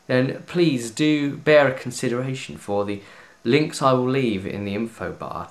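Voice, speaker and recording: A man with a medium-pitched voice talks casually to viewers as a video host. He is enthusiastic and chatty, leaning on key words as he walks through a product review. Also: fairly bright tone. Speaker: host of a tabletop gaming video series.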